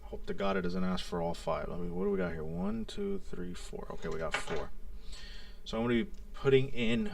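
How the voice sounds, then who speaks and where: A man's voice: high-pitched, wildly swooping pitch, brisk, vocal fry, no echo, far from the mic. A man hosting a casual live demo, thinking aloud and muttering to himself in short fragments as he works. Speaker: live stream host demonstrating a synthesizer.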